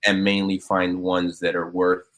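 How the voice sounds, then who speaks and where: Low voiced guy, 30s, from cuba by way of miami, rambling earnest podcast guest. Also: little pitch movement, unhurried, fairly bright tone. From Cuba, raised in Miami. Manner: earnest, talkative, with many fillers and restarts. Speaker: guest, business owner. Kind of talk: casual podcast interview.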